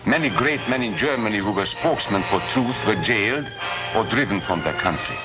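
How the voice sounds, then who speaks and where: A man playing a grave professor, narrating with measured emphasis in a 1940s educational film. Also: fairly low pitch, expressive, close to an everyday voice. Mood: solemn, indignant. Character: grave male professor recounting the rise of Nazism. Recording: dull 1940s film soundtrack. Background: music.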